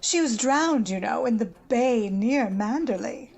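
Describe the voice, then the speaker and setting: A woman's voice: fairly high pitch, expressive, a little slow, creaky, darkish tone, exaggerated voice. A woman playing a conceited, bossy older American society matron in an old-time radio drama, haughty and gushing. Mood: haughty, gossipy.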